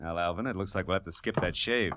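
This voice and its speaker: An actor playing a tough, wry American private detective, deadpan in 1940s radio noir style. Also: male voice, deep voice, quick pace, background noise, lightly acted. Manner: deadpan, wisecracking.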